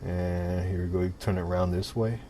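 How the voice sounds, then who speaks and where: Male tutorial presenter, deep register, casual step-by-step demo talk, slightly noisy home-style recording. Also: brisk. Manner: informal, step-by-step, talking while demonstrating. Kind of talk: casual software tutorial narration. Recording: clear steady background noise.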